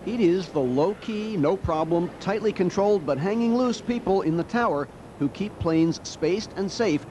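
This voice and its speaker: An American man with a fairly high voice narrates a TV news feature. His delivery is measured and wry, like a reporter telling a story. Some noise sits behind him. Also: animated, mellow.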